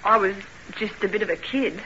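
An actress playing a guarded, bitter young working-class housekeeper with a brusque, slangy in old radio drama style. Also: female voice, medium pitch, brisk, sharp tone, close to an everyday voice. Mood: wistful. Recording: muffled noisy old radio recording.

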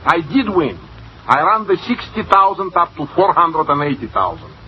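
An actor playing a crafty, easily indignant cafe cashier in old-time radio comedy, theatrical and precise. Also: male voice, fairly high pitch, huge pitch swings, normal speed. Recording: muffled, hissy old radio recording.

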